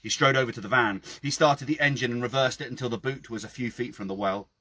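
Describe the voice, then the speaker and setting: A man with a husky voice reading his own story aloud outdoors, in a dramatic storytelling style. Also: medium pitch, fast talker, pushed and bright, no room sound. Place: outdoors by a well.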